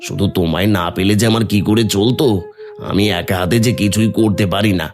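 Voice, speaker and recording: A man's voice: on the low side, fairly quick, soft background music, lightly acted. A man voicing a poor, humble village laborer in a cartoon, worried about feeding his family. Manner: worried, earnest.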